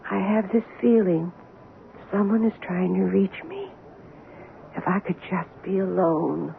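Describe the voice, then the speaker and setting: An actress playing a haunted, intense woman convinced of contact with spirits, with deliberate dramatic phrasing in old-time radio style. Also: medium pitch, steady tone, gravelly. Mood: haunted, subdued. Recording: noisy, narrow-band old radio recording.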